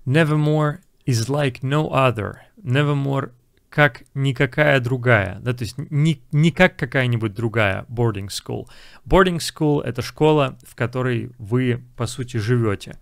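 Young man, English teacher, gravelly voice, dry close sound. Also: medium pitch, unhurried, no room sound. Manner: explains words, repeats English phrases. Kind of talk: casual lesson explaining film dialogue.

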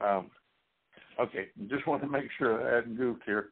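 Folksy missouri guy teaching bible, husky voice, sounds like a phone line. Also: medium pitch, normal speed. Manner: rambling, folksy, frequent restarts and fillers. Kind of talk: sermon-style Bible teaching.

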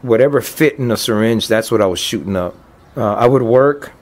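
A man in his fifties with a somewhat low voice gives a documentary interview. He talks in a plain, earnest way and lets real emotion show without dressing it up. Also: brisk. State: choked up. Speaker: deported veteran telling his story.

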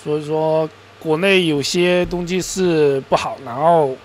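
A man's voice: a little high, a little slow, slight background noise. A man hosting a casual screen-recorded game video, rambling, often correcting himself, and sounding mildly exasperated. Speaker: gaming and crypto-mining video host. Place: voice-over on his computer screen recording.

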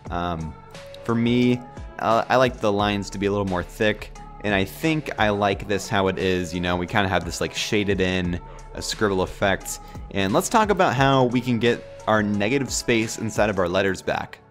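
A low-pitched man narrates a screen tutorial while sick, his voice nasal and cracking from a sore throat. He rambles in a relaxed, filler-heavy way, with faint music under him. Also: moderate pace. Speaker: tutorial host. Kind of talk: casual screen-recorded software tutorial.

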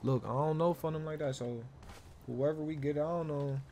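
A man's voice: a little high, fairly even intonation, normal speed, dark tone, slight background noise. An American man streaming improvised gang roleplay, bossing his crew around in repetitive street slang.

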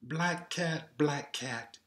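A man reading aloud as a mother who hushes noisy animals, in a sing-song rhyming chant. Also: fairly high pitch, extremely slow. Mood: soothing chant. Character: gentle mother hushing animals near her baby.

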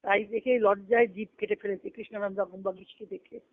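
A woman's voice: mid-range voice, steady tone, brisk, no room sound. A woman giving a devotional discourse as a spiritual teacher, reflective and explanatory, posing questions and answering them. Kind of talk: devotional discourse with recited scripture. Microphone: phone or conference call. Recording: narrow, tinny phone conference line.

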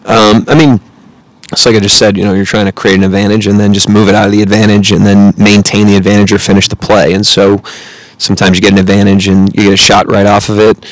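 A man in his thirties, a head coach answering questions at a post-game press conference, measured and analytical with frequent fillers, speaking into a close table mic. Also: lowish voice, little pitch movement, no room sound, poor audio. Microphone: table mic close in front.

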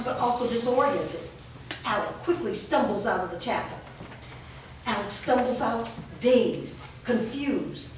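A woman reads stage directions aloud as scripted narration. She sits far from the mic in a very echoey room. There is faint noise in the recording. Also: fairly high pitch. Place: bare studio stage room, indoors. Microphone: far camera.

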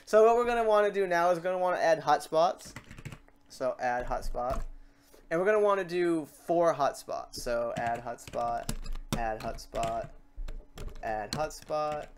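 A man hosting a casual, improvised software tutorial, thinking aloud in an informal, self-deprecating way. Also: a little high, unhurried, slight background noise. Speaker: tutorial host.

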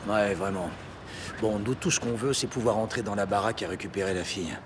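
An actor playing a streetwise police detective in a French audio drama, direct and businesslike. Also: male voice, fairly low pitch, brisk, background noise, close to an everyday voice. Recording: music and urban ambience behind.

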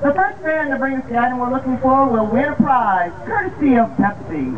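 A woman's voice: high-pitched, a little fast. Distant, tinny American woman stadium announcer. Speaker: stadium public address announcer at baseball games. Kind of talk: scripted PA announcing of lineups, promotions and game updates. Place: outdoor baseball field, heard over the PA system. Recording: tinny distant ballpark loudspeaker, outdoor noise.

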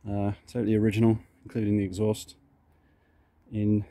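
Low, flat-voiced man showing his bikes. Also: normal speed. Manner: plain, matter-of-fact, hesitant with fillers. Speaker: motorbike owner showing his collection. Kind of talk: casual walkaround narration. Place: outdoors on a driveway.

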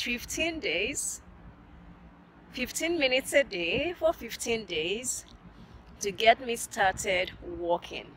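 A middle-aged woman chatting casually to camera as a vlogger, halting and repeating phrases for emphasis, recorded outdoors on a phone held at arm's length. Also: mid-range voice, a bit bright, poor audio. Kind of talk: casual motivational vlog chat to camera. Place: outdoors beside a brick building, terrace. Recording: outdoor ambient noise.

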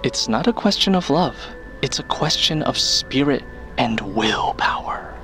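An actor playing a coaxing, theatrical spirit medium in an audio drama, with a showman's drawn-out mystical delivery. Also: medium pitch, animated, natural voice. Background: music.

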